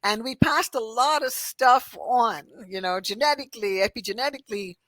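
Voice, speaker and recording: A woman's voice: fairly high pitch, normal speed, a bit bright, no room sound. A middle-aged woman from Barbados, raised in Toronto, talking as a guest about her trainings and singing, chatty, laughing often and restarting her sentences. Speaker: guest, cultural sensitivity trainer and singer. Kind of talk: casual interview chat.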